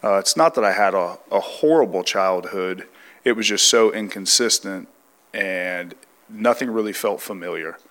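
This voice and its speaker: A man's voice: low-pitched, moderate pace, a bit bright. A young man from California, congested and under the weather, telling his life story in a plain, reflective way. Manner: reflective, plain-spoken, restarts often. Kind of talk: testimony interview.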